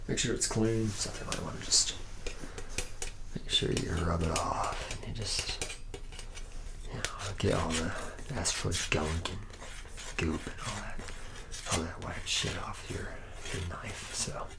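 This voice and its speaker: A man's voice: low-pitched, unhurried, vocal fry, long pauses, very noisy. A man playing a boozy, charismatic slacker robot mechanic in an ASMR roleplay, rambling in slangy, buddy-buddy talk to a trainee. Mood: casual, instructive. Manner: rambling, slangy, buddy-buddy, slightly self-deprecating. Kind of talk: improvised ASMR roleplay, addressing the listener as a trainee.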